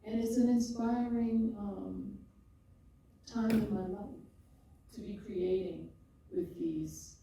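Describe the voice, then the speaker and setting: An older woman, a poet and podcaster, telling her story in an expressive, rhythmic way and often laughing, before a live audience. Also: on the high side, takes it slowly, gravelly, pauses often, slight background noise. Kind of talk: reflective conversational storytelling. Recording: echoey hall, mic far off.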